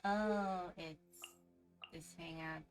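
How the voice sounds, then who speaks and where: Slow, unhurried woman streaming a game. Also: on the low side, fairly even intonation. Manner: muttering reactions to herself. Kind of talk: casual gameplay commentary. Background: game music.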